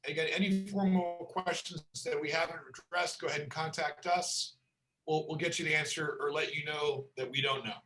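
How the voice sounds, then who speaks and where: A man's voice: fairly high pitch, fairly even intonation, moderate pace, sharp tone, soft background music, rough recording. A man hosting an educational webinar, talking with stammering restarts and wry asides. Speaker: webinar host.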